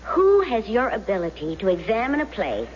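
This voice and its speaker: An actress playing a persuasive, affectionate, confident wife in radio drama, coaxing with emphatic theatrical delivery. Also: female voice, mid-range voice, huge pitch swings, normal speed, darkish tone. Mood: coaxing, teasing. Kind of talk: 1970s radio drama acting. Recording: dull, noisy, reverberant old radio recording.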